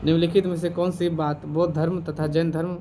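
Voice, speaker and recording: A man's voice: fairly high pitch, flat delivery, brisk, warm tone, slight background noise. A man teaching as a quiz host, reading out questions and answers in an instructional way and repeating the answers. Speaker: quiz teacher for exam preparation.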